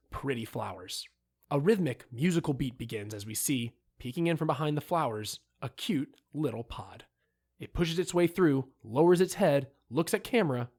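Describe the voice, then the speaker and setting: A man reading out a screenplay's scene directions as scripted narration. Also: a little high.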